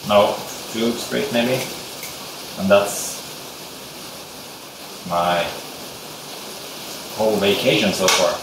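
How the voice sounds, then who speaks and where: A man's voice: on the low side, extremely slow, long pauses. A young Swedish man with a Swedish accent, talking hesitantly while working on a bonsai, thinking aloud with many fillers in a casual tutorial. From Sweden. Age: young adult. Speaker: bonsai hobbyist vlogger. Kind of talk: casual hands-on tutorial chat. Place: small glassed sunroom indoors with rain outside. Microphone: camera mic from a distance. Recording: echoey distant camera audio with rain hiss. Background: steady rain noise.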